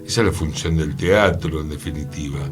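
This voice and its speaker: A man's voice: rumbling low, a little fast, music in the background. A man with a Buenos Aires Argentine accent hosting a radio talk, explaining things in a reflective, conversational way. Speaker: radio show host. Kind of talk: conversational talk on theatre history. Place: radio programme, guitar music playing behind the voice.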